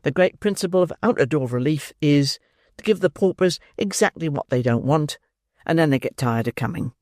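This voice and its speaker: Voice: medium pitch, lively intonation, normal speed, darkish tone, dry recording, natural voice. Reader putting on a puffed-up beadle, preachy, swoops around a lot, dry sound. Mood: self-satisfied. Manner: pompous, lecturing. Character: pompous self-important male parish beadle.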